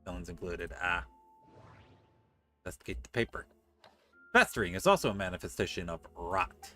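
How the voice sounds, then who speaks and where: A man streaming a game, reading its text aloud and dropping in casual, joking commentary. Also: on the low side. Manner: joking asides between read passages. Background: soft game music.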